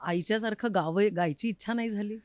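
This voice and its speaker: A man's voice: high-pitched, a little fast, a little mellow, smooth, no echo. A man hosting a casual interview in an attentive, encouraging way. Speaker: interviewer, host. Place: recording studio.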